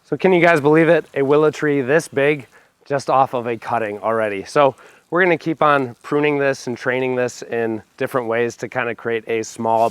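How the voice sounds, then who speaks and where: A young man hosting a homestead vlog, hoarse from a cold, explaining his backyard planting step by step with enthusiasm. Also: mid-range voice. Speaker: homestead channel host. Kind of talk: casual gardening vlog. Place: backyard, outdoors.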